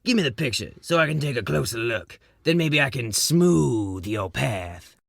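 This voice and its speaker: An actor playing a laid-back, slangy jazz hepcat in a comic noir audio drama. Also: male voice, mid-range voice, expressive, normal speed, creaky, a bit bright, dry recording, studio quality. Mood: laid-back, curious.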